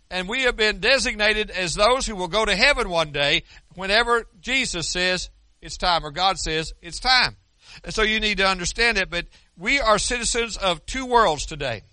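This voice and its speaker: High, bright-voiced older preacher man. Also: no room sound. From United States. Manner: earnest, conversational preaching with many restarts and asides. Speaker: pastor. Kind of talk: sermon.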